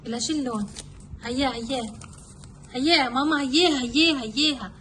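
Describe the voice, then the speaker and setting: A woman's voice: high-pitched, brisk, sharp tone, slight background noise. A woman with a Lebanese accent, a mother talking casually in a homemade kids' craft video.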